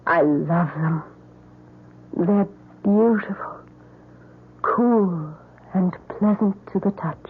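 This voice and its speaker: An actress playing an earnest, genteel older millionairess and kindly philanthropist in an old-time radio drama, speaking theatrically. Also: female voice, fairly low pitch, slow, pauses often, slightly rough. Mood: fond, proud. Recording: noisy, tinny old radio broadcast.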